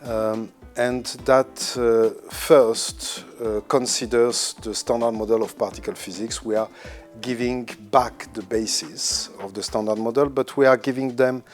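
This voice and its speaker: A man's voice: mid-range voice, steady tone, a little slow, faint music behind. A man organizing a physics school, speaking in a documentary interview with many hesitations and restarts. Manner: hesitant, frequent fillers and restarts.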